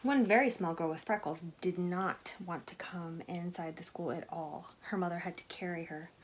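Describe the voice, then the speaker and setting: A woman reading a picture book aloud to children in an expressive way, with chatty asides to her young listeners. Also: lowish voice, normal speed, a little hiss or hum. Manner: animated, expressive storytelling with questions to listeners.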